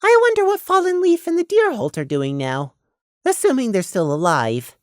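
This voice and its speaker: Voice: medium pitch, wildly swooping pitch, normal speed, dry recording, clean studio sound, pitched above the performer's own voice. A narrator voicing a small, secretive male lamb with a sardonic, complaining manner. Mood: pensive.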